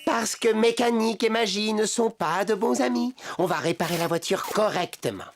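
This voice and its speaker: A woman's voice: medium pitch, moderate pace, slight background noise, close to an everyday voice. A woman voicing a fussy, strict and methodical mechanic in a French cartoon dub. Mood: patient, firm. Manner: firm, exasperated, precise.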